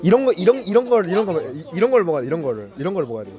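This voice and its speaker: Excitable man with lively pitch. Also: racing, a crowd in the background. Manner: excitable, exclaims often. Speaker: food vlogger host. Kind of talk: casual mukbang chat. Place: busy restaurant. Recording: loud background music.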